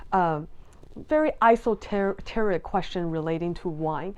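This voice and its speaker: A woman's voice: medium pitch, a little mellow, clean tone. Taiwanese-born woman explaining sommelier exams. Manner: friendly, earnest, with frequent ums and restarts. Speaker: sommelier vlogger. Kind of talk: casual explanatory talk to camera.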